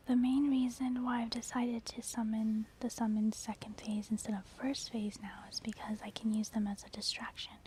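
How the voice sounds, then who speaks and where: A woman whispering breathy, casual ASMR commentary as she explains her gameplay. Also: fairly high pitch, flat delivery, normal speed, few pauses, warm tone, slight background noise. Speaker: ASMR game streamer. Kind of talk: soft-spoken ASMR game commentary.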